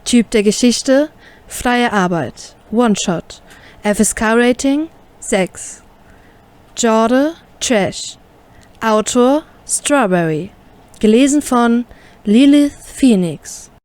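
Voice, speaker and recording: A woman with a fairly high voice reads out title details and credits. She goes very slowly, setting down each item on its own as a scripted announcement. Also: expressive, a little mellow.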